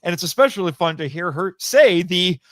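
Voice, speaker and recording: A man with a voice a little higher than average speaks in a casual, enthusiastic interview style. He is chatty and explanatory, often doubling back to correct himself. The sound is dry, with very little room echo. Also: animated, fairly quick, a bit bright. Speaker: podcast guest, voice actor.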